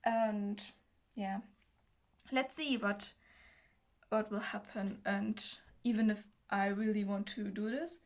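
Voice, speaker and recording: A nineteen-year-old woman from Germany with a German accent, talking casually to the camera in a nervous, hesitant, halting way as a non-native speaker. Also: medium pitch. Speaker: self-development video creator. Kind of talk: casual personal talk to camera.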